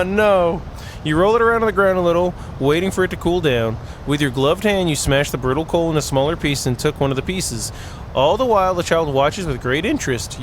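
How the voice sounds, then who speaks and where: High-voiced, animated male gameplay host. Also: slight background noise. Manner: expressive, playful, exclaims and laughs. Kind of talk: reading a visual novel aloud with playful reactions.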